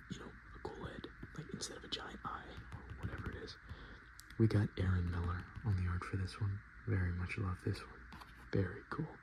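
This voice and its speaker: A man speaking softly and often whispering in a casual ASMR style, with hesitant, halting, murmured delivery. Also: fairly low pitch, creaky, poor audio. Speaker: ASMR video host. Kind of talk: soft-spoken and whispered ASMR, casual commentary. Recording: noticeable background noise.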